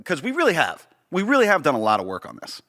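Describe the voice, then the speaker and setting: A man's voice: a little high, wildly swooping pitch, fast talker, pushed and bright. An American man co-hosting a podcast as a production director, chatting casually in an informal, earnest way. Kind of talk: casual chat.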